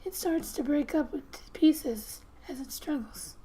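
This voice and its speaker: High, hushed, soft-spoken ASMR woman. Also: a little hiss or hum. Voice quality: soft, breathy, often near a whisper. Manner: gentle, hushed, unhurried storytelling. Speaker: ASMR gamer and host. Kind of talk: soft-spoken ASMR, reading a game's story aloud with casual asides.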